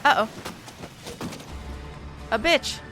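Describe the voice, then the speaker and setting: A woman's voice: drawn-out words, music in the background. A woman game streamer giving casual, playful and irreverent commentary on a playthrough.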